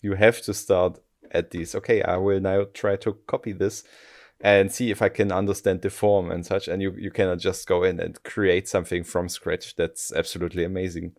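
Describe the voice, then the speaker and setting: A man's voice: low voice, level tone, moderate pace. A man with a German accent, a game developer speaking as a podcast guest, thoughtful and self-deprecating, often restarting his sentences. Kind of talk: casual podcast interview.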